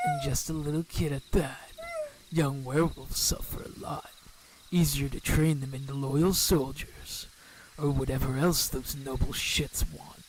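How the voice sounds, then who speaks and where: A man playing a cruel, foul-mouthed werewolf hunter in an audio roleplay, menacing and sneering. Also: mid-range voice. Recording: noisy outdoor ambience with chirping crickets.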